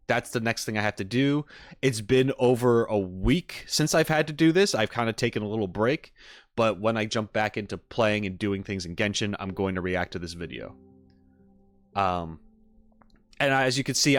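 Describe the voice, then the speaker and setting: Male streamer, middle-pitched voice, casual and explanatory, rambling updates over faint background music. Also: a bit bright. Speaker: streamer and reaction video host. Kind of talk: casual livestream chat to viewers.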